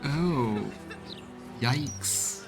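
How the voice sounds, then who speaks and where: A man's voice: mid-range voice, animated, drawn-out words. A man doing let's play commentary as a game streamer, talking through the game and reading its texts aloud. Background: game music.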